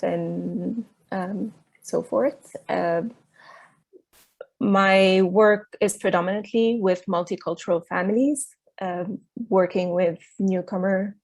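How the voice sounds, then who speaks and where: A woman with a gravelly, creaky voice speaks very slowly over a video call. She introduces herself at a parent meeting, pausing often with "um" between phrases. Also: fairly low pitch, darkish tone. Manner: slow and halting, many fillers. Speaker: candidate parent representative. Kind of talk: self-introduction at an online meeting.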